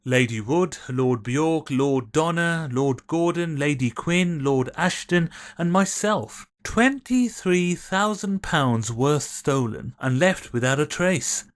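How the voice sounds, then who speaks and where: An actor playing a formal, courteous gentleman official with a measured manner in an audio drama. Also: a little high, expressive. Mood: grave, businesslike.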